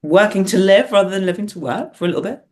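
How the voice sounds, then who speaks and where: A woman's voice: medium pitch, fast talker, darkish tone. A British woman talking as a podcast guest in a casual, reflective way, thinking aloud with some self-deprecation. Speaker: podcast guest, playwright and performer. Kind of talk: casual, reflective interview chat.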